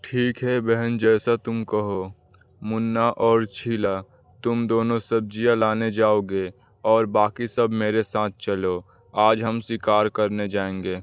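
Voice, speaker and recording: A man plays the eldest brother crow of a children's cartoon, responsible and caring. His voice is fairly low and clear, with very slow delivery. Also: little pitch movement, no echo. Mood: calm, directing. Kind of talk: Hindi children's cartoon story dubbing.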